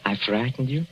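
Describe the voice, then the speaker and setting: Soft-spoken scheming old husband, chuckling and quietly menacing, 1940s radio play, muffled lo-fi sound, faint hiss. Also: male voice, medium pitch. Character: sly, cooing older husband poisoning his wife. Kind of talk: 1940s radio drama acting.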